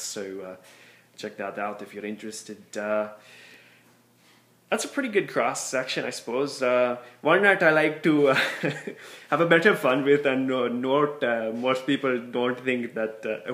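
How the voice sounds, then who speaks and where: A man's voice: mid-range voice, a bit bright. A man chatting casually to camera while putting on a run of imitated accents, hesitant and full of fillers, recorded in a room at his workplace. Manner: talks through put-on imitated accents, hesitant with many fillers. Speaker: vlogger showing off accent imitations. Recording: roomy echo.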